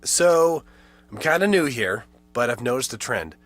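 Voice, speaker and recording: A man's voice: mid-range voice, expressive, measured pace, a bit bright, faint music behind. A man voicing a chatty young pet dog in a comic ad, wry and mock-exasperated about its owner. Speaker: ad voice-over. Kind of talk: comic ad voice-over.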